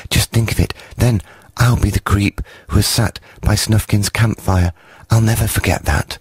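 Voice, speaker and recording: A tiny shy furry creature, as a man puts it on talks in a high, stammering rush, begging and admiring. It sounds dry and close, with a husky edge under the raised pitch. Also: fairly even intonation, moderate pace, no room sound. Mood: eager shy. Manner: breathless, stammering, pleading.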